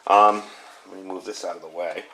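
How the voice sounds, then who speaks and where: A man's voice: sits low, fairly even intonation, a little fast, bright, forceful tone, slight background noise, poor audio. A man giving a casual hands-on gear review indoors, explaining things in a rambling, informal way. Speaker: product reviewer. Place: indoors at home.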